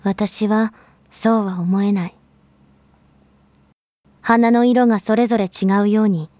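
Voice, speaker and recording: A woman playing a mysterious, pensive narrator in a Japanese audio drama monologue, addressing the listener in an earnest, intimate, measured way. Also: medium pitch, dark tone, dry recording, close to an everyday voice. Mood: reflective, questioning.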